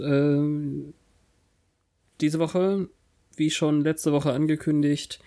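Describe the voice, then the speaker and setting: Male co-host, slow and wry, chatty episode-recap podcast. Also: a little high, warm tone. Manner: wry, drawn-out, laughs often. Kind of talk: casual TV-episode recap chat.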